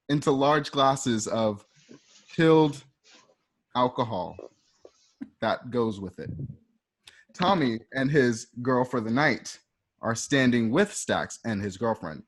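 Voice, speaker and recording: A man with a medium-pitched voice serves as narrator of a radio play. He reads scripted scene descriptions in a measured manner. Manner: measured, present-tense scene setting.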